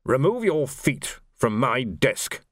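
A man's voice: on the high side, very animated, a little fast, fairly bright tone, no echo, studio quality, pitched above the performer's own voice. A man voicing a newly promoted army major who sounds prim and flustered. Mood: uneasy.

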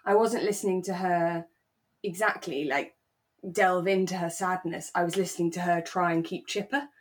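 A woman speaks in a voice slightly on the low side. She sounds like a podcast co-host in relaxed conversation, giving her thoughts informally. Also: normal speed. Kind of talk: relaxed chat reviewing a radio drama.

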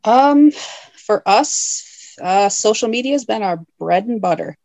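A woman's voice: mid-range voice, animated, slow, creaky, darkish tone, dry recording, poor audio. A young woman, a haunted attraction owner, chatting in an interview; friendly, laughing easily, with plenty of fillers. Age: young adult. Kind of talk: casual interview chat.

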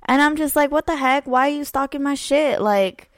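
A young woman co-hosting a casual podcast, telling stories in an animated way, full of "like" and acted-out quotes. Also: high-pitched, moderate pace, no echo, clean studio sound. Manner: animated, lots of "like", acts out quotes. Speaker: podcast co-host. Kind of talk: casual storytelling chat.

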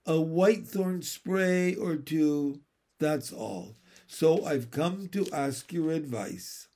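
A man's voice: fairly high pitch, expressive, slow pace, mellow. A man voicing a plaintive, polite female cow from a storybook, speaking simply and deferentially. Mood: piteous, weary.

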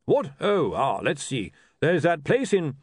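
A man's voice: a little high, wildly swooping pitch, unhurried, darkish tone, husky, no room sound, studio quality, voice pushed up, heavily acted. A man voicing a blunt, blustering, impatient chief priest in a fantasy audiobook. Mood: vague, flustered.

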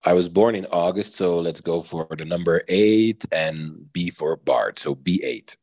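Brief: man in his thirties from Belgium, thirties, booming low voice, thoughtful podcast guest, close dry mic. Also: darkish tone, no echo. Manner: measured, thoughtful, often adds "right?". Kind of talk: conversational interview answers.

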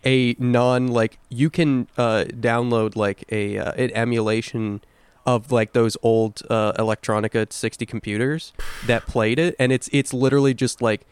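A man's voice: medium pitch, fairly even intonation, moderate pace, clear, resonant tone, no echo. A man co-hosting a podcast, chatting casually about video games and swearing freely. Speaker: podcast co-host.

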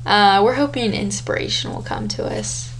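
A woman's voice: medium pitch, normal speed, slight background noise, low-quality recording. A young woman co-hosting a casual podcast as the older sister, chatty and rambling, laughing often. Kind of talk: casual chat.

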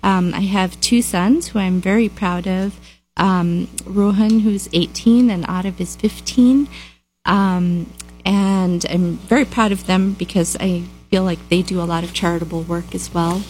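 A woman who was born in Kenya and grew up in Boston, answering interview questions as a guest in a gracious, warm way. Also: medium pitch, fairly even intonation, unhurried, slight background noise, rough recording. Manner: warm, gracious, laughs easily.

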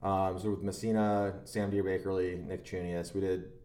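A man co-hosting a podcast in casual chat, laid-back and a little halting, recorded in a roomy, echoey space. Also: sits low, little pitch movement, warm tone. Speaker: podcast co-host. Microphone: own podcast microphone.

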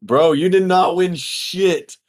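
A hoarse young man co-hosting a casual podcast, loud, swearing and playfully boastful. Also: sits high, takes it slowly, a loud crowd. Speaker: podcast co-host. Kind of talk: casual banter between friends.

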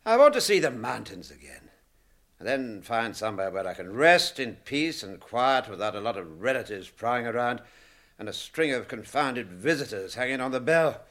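Old british hobbit, fussy and testy, chatty, really animated, radio play acting. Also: male voice, medium pitch, normal speed. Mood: wistful.

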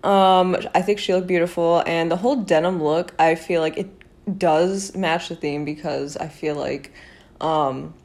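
A woman's voice: lowish voice, fairly even intonation, unhurried, a little mellow. A young woman hosting a podcast, chatting casually about fashion with a congested, nasal voice and lots of fillers. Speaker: podcast host.

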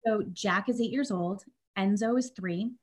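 A woman in her thirties, a native Italian speaker raised partly in Germany, chatting casually as a podcast guest, animated and self-deprecating. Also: mid-range voice, unhurried. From Germany and Italy. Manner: chatty, animated, self-deprecating, repeats words when restarting. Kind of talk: casual interview chat.

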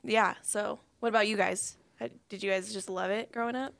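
A woman in her twenties, a podcast co-host chatting casually, giggly and animated. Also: mid-range voice, a little fast, vocal fry. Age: 20s. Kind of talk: casual chat.

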